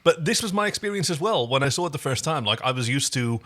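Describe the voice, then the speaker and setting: A man's voice: on the high side, lively intonation, quick pace, fairly bright tone. A man co-hosting a podcast in casual, enthusiastic, engaged conversation about a television series. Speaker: podcast co-host.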